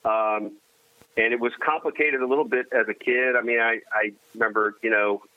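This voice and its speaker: A man, Armenian his first language, talking casually as a guest in a halting way with frequent restarts and dry self-deprecating humor. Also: medium pitch, fairly even intonation, no echo. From United States. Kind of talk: casual conversation. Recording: dry tinny phone-like line.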